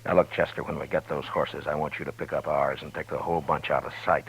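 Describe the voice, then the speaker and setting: An actor playing a steady, commanding male frontier marshal who also narrates, in a 1950s radio drama, calm and authoritative. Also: very deep voice, quick pace, husky, full character voice, rough recording. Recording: old radio broadcast with music bed.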